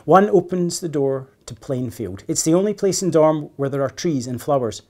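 A man's voice: a little high, expressive, moderate pace, darkish tone. An earnest schoolgirl from a children's storybook, played by a man reading aloud. She speaks with naive seriousness. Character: earnest, wondering, gloomy schoolgirl.

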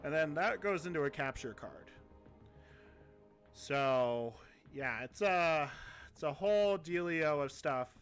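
A man's voice: a little high, expressive, takes it slowly, a bit bright, smooth, soft background music. A young man on a headset, chatting casually and rambling as he streams a mobile game, laughing at himself. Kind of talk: casual live-stream chat. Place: home streaming room.

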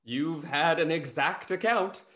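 A man's voice: a little high, a little slow, fairly bright tone. A man playing a snarky, self-important society gossip, arch and pompous, in a Restoration comedy reading. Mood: arch, gossipy. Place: small indoor room on a video call. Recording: echoey room over video call.

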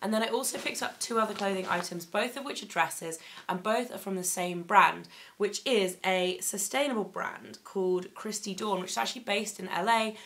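A young woman recovering from laryngitis, her voice still slightly croaky, chatting enthusiastically through a clothing haul in her bedroom, with plenty of ums and likes. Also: mid-range voice, normal speed, few pauses, a bit bright. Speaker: fashion vlogger. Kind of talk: casual haul vlog. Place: bedroom, indoors.

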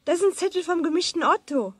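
An actress playing a pushy, sharp-eyed big sister in a radio play, with a husky edge. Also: female voice, very high voice, animated, fast, no room sound. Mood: accusing.

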